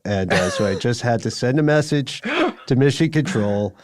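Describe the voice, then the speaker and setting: A man's voice: mid-range voice, expressive, normal speed, darkish tone, dry recording, clean studio sound. A man co-hosting a podcast in a conversational style, with articulate, slightly wordy phrasing. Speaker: podcast co-host.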